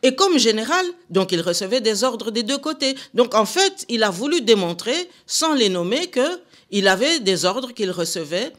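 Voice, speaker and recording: A woman's voice: fairly high pitch, very animated, moderate pace, a bit bright. An older woman, a guest answering radio interview questions in a firm, indignant, emphatic way from a studio. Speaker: political guest.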